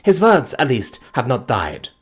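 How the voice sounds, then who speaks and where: A man's voice: medium pitch, lively intonation, fast talker, slightly rough, voice pushed up. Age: young adult. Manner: pleading, breathless, trembling. Figure: a devoted woman in mourning, as a male narrator puts her on, in an audiobook.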